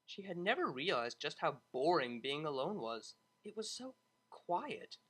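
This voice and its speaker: An expressive storyteller reads scripted fiction with a bright, crisp edge. The pitch sits in a middle register and moves around a lot, keeping the narration animated. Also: a little slow.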